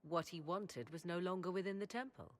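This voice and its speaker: A woman playing a regal, mysterious witch advisor in a video game, speaking in a measured, formal, dramatic way. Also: on the low side, close to an everyday voice. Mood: grave, assured.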